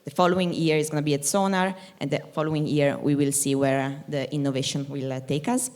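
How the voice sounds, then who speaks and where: Low-voiced woman on a conference panel. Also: fairly even intonation, fairly quick, a little mellow. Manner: explanatory, many fillers. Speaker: panelist, operations manager. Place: conference stage. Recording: hall reverb.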